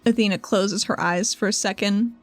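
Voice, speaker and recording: A woman's voice: mid-range voice, fairly even intonation, normal speed, no room sound. A woman who is a player in a tabletop game, casually narrating what her character does. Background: music underneath.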